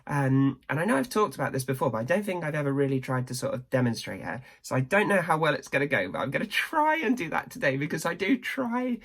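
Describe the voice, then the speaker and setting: A woman's voice: low voice, big pitch swings, fast talker, few pauses. Chatty woman vlogging. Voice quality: croaky. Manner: chatty, rambling, self-deprecating. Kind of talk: casual chat to camera.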